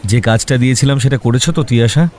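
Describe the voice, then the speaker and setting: A man's voice: medium pitch, quick pace, a little mellow, lightly acted. Man acting a serious, reserved team leader. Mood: grave, curt. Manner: grave, curt. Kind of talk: audio story acting.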